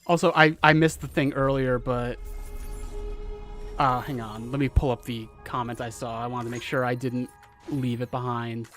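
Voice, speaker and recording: A soft-spoken man chatting casually while streaming a game and reading chat comments aloud. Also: medium pitch, fairly even intonation, normal speed, soft background music.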